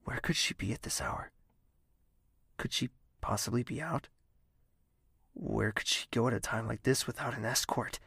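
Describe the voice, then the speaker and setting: An actor playing a melancholic, lovelorn insomniac in a fantasy audio drama, narrating pensively in a hushed, slightly husky voice. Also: male voice, mid-range voice, normal speed, pauses often, dry recording, heavily acted. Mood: melancholic, searching. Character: melancholic lovelorn insomniac man of a royal castle.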